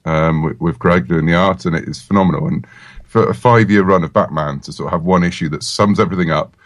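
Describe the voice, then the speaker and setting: Low-pitched, chatty English man. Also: quick pace, no echo. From England. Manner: enthusiastic, chatty. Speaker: comic book writer, guest. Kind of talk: casual interview chat.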